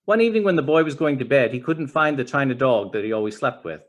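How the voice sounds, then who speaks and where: A man narrates a storybook in an expressive read-aloud style. His voice sits at a middling pitch and moves up and down a great deal as he tells the tale. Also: fast. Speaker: storybook reader.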